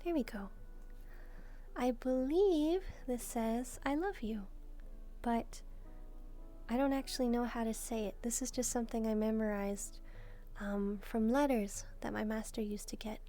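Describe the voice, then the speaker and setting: A gentle young demon maid played by a woman speaks in a high, mellow voice with music clearly behind her. She is devoted and softly teasing, musing aloud to her master in an intimate video roleplay. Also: measured pace, lightly acted. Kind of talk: soft-spoken roleplay addressed to the listener. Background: background music.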